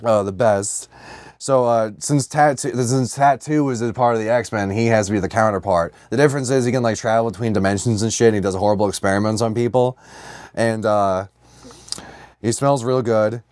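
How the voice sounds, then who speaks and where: A man's voice: on the low side, normal speed, darkish tone, dry recording. A man co-hosting a podcast in casual comedy chat, talking in crude, jokey, profane slang. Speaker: podcast co-host.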